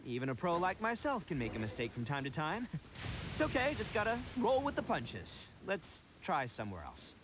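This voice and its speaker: A man's voice: on the high side, wildly swooping pitch, normal speed, noisy background. A man voicing a brash, goofy young self-proclaimed expert in an anime game, bragging theatrically in slangy speech. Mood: reassuring, confident.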